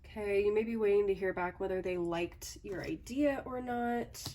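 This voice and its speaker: A woman doing a casual tarot reading, her voice a little raspy from a recent cold, often pausing with "okay" and "um". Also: medium pitch, dark tone, far from the mic. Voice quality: slightly raspy. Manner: thinking aloud with frequent "okay" and "um". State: hoarse from a cold. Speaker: tarot reader.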